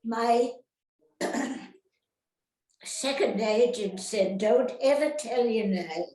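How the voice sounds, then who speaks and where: An older woman, an actress reminiscing casually in an interview, rambling and halting, often turning questions on her listeners. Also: a little high, warm tone. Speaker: retired actress being interviewed. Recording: roomy, echoey sound.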